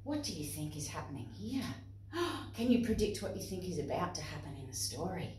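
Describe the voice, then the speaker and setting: A woman with an Australian accent presenting to young children and parents in an expressive, instructive way. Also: lowish voice, brisk, a little hiss or hum. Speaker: playgroup facilitator and presenter. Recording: echoey room, distant microphone.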